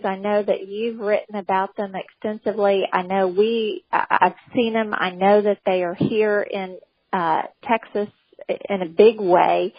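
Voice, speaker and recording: A woman from the United States hosting a conference call, putting questions to her guest in a somewhat halting, hesitant way. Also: medium pitch. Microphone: telephone. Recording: dull telephone conference-call line.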